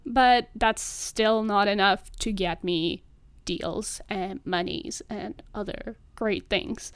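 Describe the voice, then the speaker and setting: A woman's voice: a little high, no echo. Young woman, upbeat. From Russian-speaking country. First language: Russian. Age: 20s. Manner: chatty, earnest, upbeat. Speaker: podcast host. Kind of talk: solo podcast monologue.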